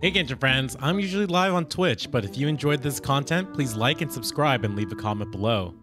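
A man in his thirties gushing through an excited reaction to a game trailer, recorded on a close studio mic in a home streaming room. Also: medium pitch. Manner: animated, gushing, quick exclamations. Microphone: studio mic on boom arm, headset. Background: game trailer music.

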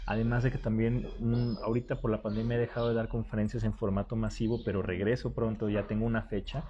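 A man's voice: on the low side, level tone, quick pace, mellow, dull audio. A man in his thirties with a Mexican accent, interviewing a guest in a casual live chat, courteous and eager, with many fillers. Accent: Mexican Spanish. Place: indoor seating area with a painted wall.

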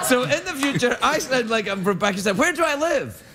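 A man's voice: extremely high pitch, big pitch swings, heavy background noise. A middle-aged Scottish man hosting a late-night talk show, bantering playfully on a prop phone in a TV studio. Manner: quick, playful, putting on voices. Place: TV studio set, seated at a desk.

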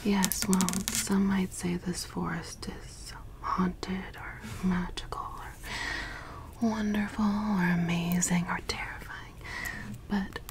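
A young woman in ASMR roleplay as a mysterious forest witch who tends a lost traveler, whispering softly close to the mic and repeating words soothingly in a small dim room. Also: on the low side, flat delivery, slow pace, rough recording. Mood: teasing. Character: mysterious, teasing forest witch woman, caring yet ominous. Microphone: close studio mic.

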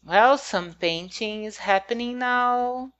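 A woman's voice: a little high, slow pace, fairly bright tone, highs cut off. A woman whose first language is not English, talking casually over her game build in a hesitant, rambling way with many fillers.

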